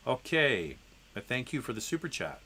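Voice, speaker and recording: A man hosting a live question session, reading out viewer messages and answering them in a loose, conversational way full of ums and restarts. He is congested from a head cold, and his voice comes across bright and a little tense. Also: medium pitch, sing-song, normal speed, breathy edge. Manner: reads viewer messages aloud. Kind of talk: live stream Q&A.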